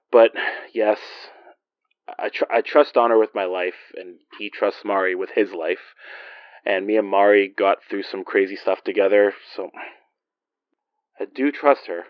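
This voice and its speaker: A man's voice: lowish voice, no room sound, lo-fi sound, natural voice. An actor playing a weary, guarded male investigator in an audio drama, speaking in a wry, hesitant, conversational way. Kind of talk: naturalistic audio drama acting.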